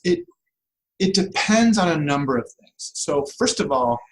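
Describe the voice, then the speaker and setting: A man's voice: fairly high pitch, lively intonation, moderate pace, poor audio. A man speaking as an expert guest, explaining things step by step in a conversational, discursive way and checking in with the listener. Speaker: guest law professor.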